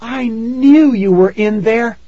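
A man voicing an excitable young girl in a storybook, crying out urgently. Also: extremely high pitch, slow, no room sound, muffled recording, voice pushed up, heavily acted. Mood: overjoyed. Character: frightened, pleading young girl in danger.

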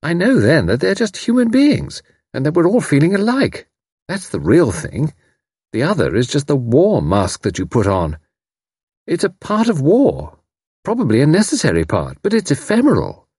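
A man voicing a brisk, kindly young woman who speaks robustly and reassuringly. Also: a little high, huge pitch swings, darkish tone, breathy edge, no room sound, clean studio sound, pitched above the performer's own voice, exaggerated voice. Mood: earnest.

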